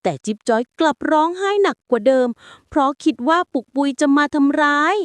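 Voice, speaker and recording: High, lilting woman storyteller. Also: lively intonation, brisk, no echo. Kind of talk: bedtime story narration.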